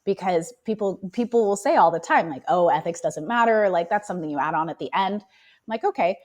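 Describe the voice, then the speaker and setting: A woman guest with a cold and a raspy voice, explaining her field in a thoughtful, casual interview. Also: on the low side, brisk, darkish tone. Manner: thoughtful, explanatory, laughs easily. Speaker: AI ethicist guest.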